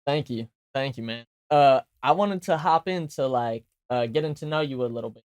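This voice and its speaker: A man's voice: medium pitch, normal speed, darkish tone, smooth. Hesitant male podcast host. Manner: hesitant, many uh fillers. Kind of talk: casual interview.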